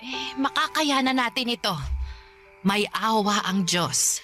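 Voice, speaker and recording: A woman's voice: a little high, lively intonation, slow pace, pushed and bright, soft background music, exaggerated voice. An actress playing an elderly, worn but protective Filipino grandmother in a Tagalog radio drama, scolding and caring by turns. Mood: soothing, worried.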